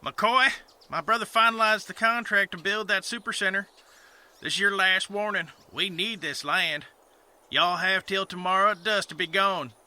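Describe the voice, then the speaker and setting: A man's voice: sits high, moderate pace, pushed and bright. A man playing a menacing, bossy land-grabbing villain in a comedic western audio drama, with a Southern US accent. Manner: bossy, threatening.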